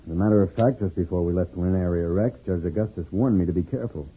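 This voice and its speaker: Tinny-sounding old-radio space hero, husky. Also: male voice, low voice, quick pace. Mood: grave, protective. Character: Dancer the Planet Man, calm protective adult male space adventurer. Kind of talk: 1950s radio serial acting.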